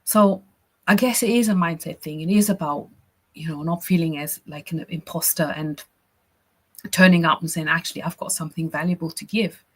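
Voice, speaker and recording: A chatty, encouraging woman from Austria coaches a live workshop, and her voice sits a little low. She keeps checking in with her listeners as she explains her ideas. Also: normal speed, slightly rough. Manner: chatty and encouraging, frequent "right?" and "you know". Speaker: business coach running a workshop. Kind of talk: casual live workshop teaching.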